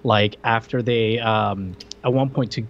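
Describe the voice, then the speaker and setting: A man's voice: on the low side, fairly even intonation, a little slow, vocal fry, lo-fi sound. A man co-hosting a podcast, chatting about an old movie with an amused manner and reading out viewer messages. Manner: amused, reads chat messages aloud. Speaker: podcast co-host. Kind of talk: casual movie review chat.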